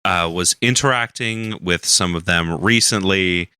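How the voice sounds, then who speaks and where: A man co-hosting a radio show, chatting casually in an earnest, halting way. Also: low voice, sharp tone, clear, resonant tone, no echo, clean studio sound. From Canada. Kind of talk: casual chat.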